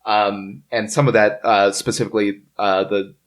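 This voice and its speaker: A man hosting a podcast, telling history from notes in a casual, halting way among the group. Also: lowish voice, flat delivery. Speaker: podcast host.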